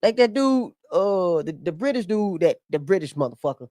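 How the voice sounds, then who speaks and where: A woman's voice: on the low side, expressive, moderate pace, mellow, dry recording, studio quality. A woman with an American accent hosting a casual podcast discussion, emphatic and slangy, swearing freely. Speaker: podcast host.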